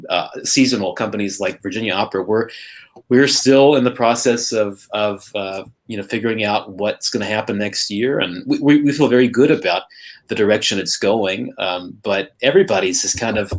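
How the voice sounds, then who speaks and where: Man hosting casually in halting phrases. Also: on the low side. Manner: halting, repeats and rephrases. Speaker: host, opera maestro. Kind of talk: casual group chat, hosting.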